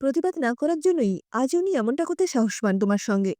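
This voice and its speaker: Lady doing a young daughter-in-law, earnest, speaks up, high mellow voice, audio story. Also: very animated, fast, no room sound, studio quality. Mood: firm, challenging. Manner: insistent, questioning.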